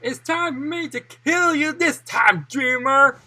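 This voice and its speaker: A storyteller voicing a gleefully murderous female supervillain, with menacing drawn-out lines that can sink toward a whisper. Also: mid-range voice, big pitch swings, noisy background. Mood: murderous fury.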